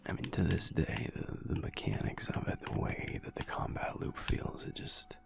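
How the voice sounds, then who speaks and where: A man playing a sad, wistful young alpha werewolf in an intimate audio roleplay, talking haltingly in a low, rumbly half-whisper. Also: natural voice. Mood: wistful, fond. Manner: halting, hesitant, trailing off.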